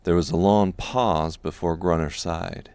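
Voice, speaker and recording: A man reading scripted dark fantasy narration as an audiobook narrator. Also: sits low, steady tone, measured pace, smooth, dry recording.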